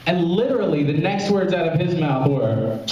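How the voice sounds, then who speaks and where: A man's voice: on the high side, expressive, normal speed, darkish tone, muffled recording. A young man from Memphis doing rambling open mic stand-up, breaking off mid-joke and laughing at his own lines. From Memphis, Tennessee, US. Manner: rambling, self-interrupting, laughs at own jokes. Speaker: stand-up comedian. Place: small club, live audience. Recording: very echoey room, from far off.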